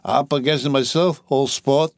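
Man reading as a drunk, giggly rich boy, slurring and lurching, higher and louder than his narration, dry close sound. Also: steady tone, moderate pace, no echo, close to an everyday voice. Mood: tipsy. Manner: slurred, laughing, lurching speech. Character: drunk rich young man, about eighteen, merry.

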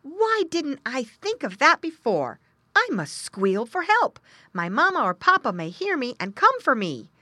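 A woman's voice: mid-range voice, expressive, unhurried, fairly bright tone, no room sound, studio quality, pitched above the performer's own voice. A woman voicing a young, plucky but worried little pig in a children's storybook.

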